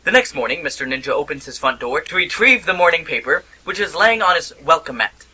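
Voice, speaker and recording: A man's voice: fairly high pitch, sing-song, brisk, pushed and bright, slightly rough. A man narrating an amateur cartoon in a dramatic storytelling manner. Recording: music bed under the voice.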